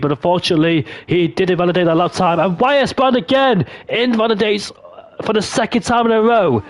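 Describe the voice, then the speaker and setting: A man commentating a sim racing session, excitable and losing his voice, hoarse. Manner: excitable, rises sharply in pitch at key moments. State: voice going hoarse. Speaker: lead commentator.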